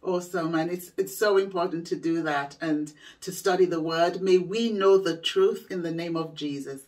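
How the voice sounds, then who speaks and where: A woman's voice: fairly low pitch, moderate pace, a little mellow. A middle-aged woman speaking as a church leader, offering encouragement and prayer. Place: small room at home, indoors. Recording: slightly distant from the mic.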